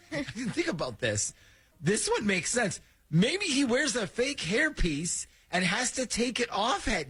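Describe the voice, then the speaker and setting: Radio host guy, lively bright voice, kinda high, lots of ums. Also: husky. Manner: animated, chatty, lots of "um" fillers. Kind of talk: morning radio prank call.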